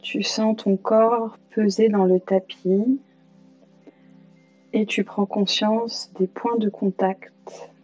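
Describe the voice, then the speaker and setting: A woman leading a guided yoga nidra meditation as an instructor, calm and soothing, leaving long pauses. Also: mid-range voice. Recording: slightly dull lo-fi sound, music behind. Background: music.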